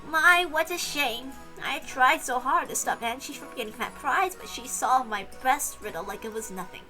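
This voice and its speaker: Woman playing a sly, bright-voiced old servant woman. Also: high-pitched, expressive, normal speed, faint music behind, poor audio. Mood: mock regretful. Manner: playful, theatrical. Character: sly, teasing old woman servant who sets riddles.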